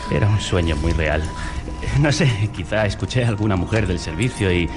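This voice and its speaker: A man's voice: deep voice, lively intonation, fairly quick. A man playing a polite, uneasy young solicitor in a radio drama, naturalistic and a little hesitant. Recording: music behind the voice.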